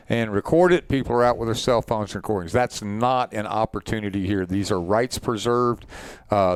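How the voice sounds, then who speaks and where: A man's voice: lowish voice, clear, resonant tone, dry recording. A man leading an information session as an organizer, informal but firm, direct and instructive. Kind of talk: informal explanatory presentation.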